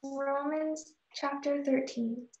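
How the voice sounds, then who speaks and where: A woman playing a solemn, pious young daughter in a radio drama, reciting earnestly in a measured way. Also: high-pitched, steady tone, a little mellow, a little hiss or hum. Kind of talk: radio drama read-through. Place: home room, remote video call. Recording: dull, roomy video call.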